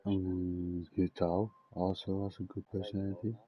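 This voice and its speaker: A young man giving casual, halting gameplay commentary as he thinks aloud. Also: deep voice, flat delivery, slightly rough, lo-fi sound. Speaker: game streamer.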